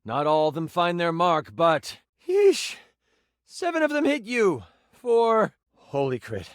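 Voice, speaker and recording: A man voicing an excitable, nerdy game master, narrating tabletop fantasy dramatically and dropping into casual, hesitant asides. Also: high-pitched, takes it slowly, dry recording, studio quality, heavily acted. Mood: dramatic. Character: living D20 die, excitable nerdy male game master.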